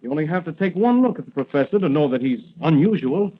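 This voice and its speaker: An actor playing an earnest, agreeable young nephew who believes readily, in a 1940s radio drama. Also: male voice, a little high, expressive, quick pace. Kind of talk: 1940s radio adventure acting. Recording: muffled, band-limited vintage radio recording.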